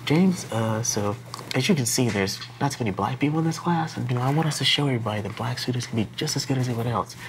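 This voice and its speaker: A man's voice: mid-range voice, quick pace, noisy background, natural voice. An American man in his thirties playing a blunt, earnest graduate teaching assistant instructing a class in a casual, plain-spoken way. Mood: confiding. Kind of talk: classroom instruction, acted dramatization. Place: classroom lab, indoors.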